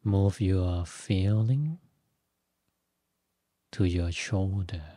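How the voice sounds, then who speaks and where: A man leading a guided meditation as a teacher, giving gentle instructions in short phrases with long pauses. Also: low-pitched, dry recording, studio quality. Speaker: Buddhist monk, meditation teacher.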